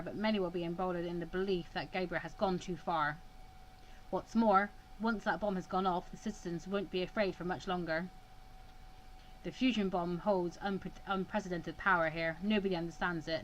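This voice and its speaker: A woman voicing a cold, self-assured male mediator in measured, formal visual novel dialogue. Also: lowish voice, a little hiss or hum, lightly acted, rough recording.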